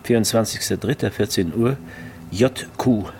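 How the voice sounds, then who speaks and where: A man's voice: on the low side, normal speed, darkish tone, slight background noise, natural voice. An actor playing a matter-of-fact male homicide detective in a naturalistic radio drama, with a businesslike, conversational manner.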